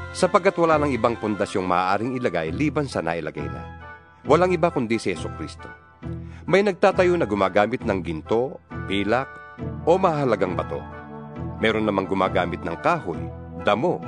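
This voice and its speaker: A man giving a solemn, expressive dramatized reading of scripture, his pitch swinging widely. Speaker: Bible reader. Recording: reading over guitar music.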